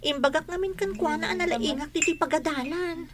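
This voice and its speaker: A woman's voice: very high voice, moderate pace, background noise. A woman playing a pushy teenage student in a radio drama, insistent and whining in her complaints. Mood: worried.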